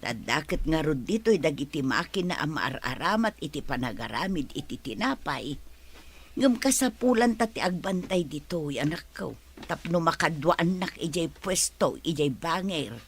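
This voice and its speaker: A woman's voice: low-pitched, very animated, moderate pace, breathy edge, full character voice. A woman with an Ilocano Filipino accent playing a concerned, practical middle-aged village mother in a radio drama.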